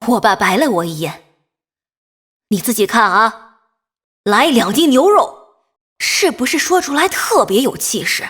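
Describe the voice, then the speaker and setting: Woman doing lively bold comic audiobook storyteller, husky, swoops around, reverb on the voice. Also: fairly high pitch, unhurried, pauses often, heavily acted. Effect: added room reverb. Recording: demo clip with added reverb effect.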